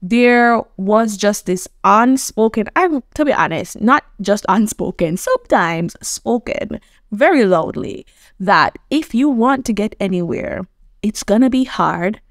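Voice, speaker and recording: A woman's voice: medium pitch, lively intonation, measured pace, dry recording, studio quality. A woman from Jamaica, hosting a motivational self-improvement talk, earnest and conversational, often checking in with the viewer. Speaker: self-improvement coach and host.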